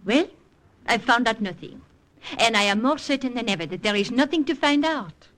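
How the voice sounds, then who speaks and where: An actress playing a poised, teasing French woman agent in an old radio drama, with a French accent and coy, measured delivery. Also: fairly high pitch, expressive, fairly bright tone. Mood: cool, insistent. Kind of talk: 1940s-50s radio drama acting.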